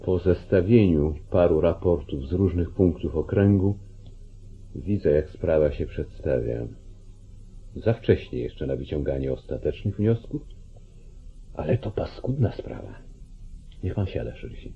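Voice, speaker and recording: An actor playing a calculating, commanding judge and politician in an old radio play, speaking deliberately. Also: male voice, very deep voice, animated, breathy edge, exaggerated voice. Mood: stern, weighty. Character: calculating, domineering small-town judge, older male politician. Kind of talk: mid-century Polish radio play acting. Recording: muffled, narrow-band 1940s radio recording.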